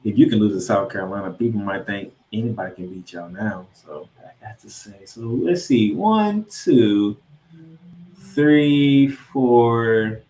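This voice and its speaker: A man's voice: lowish voice, lively intonation, measured pace, mellow, slight background noise. An American man hosting a football podcast, giving a recap and preview with an emphatic, colloquial delivery. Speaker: sports podcast host. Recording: roomy, echoing sound.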